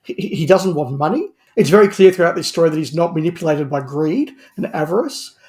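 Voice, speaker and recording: A man with a fairly high voice talks as a podcast panelist. He is emphatic and analytical, often doubling up words as he builds an argument about drama. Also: animated, fairly quick, darkish tone. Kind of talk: discussion of audio drama.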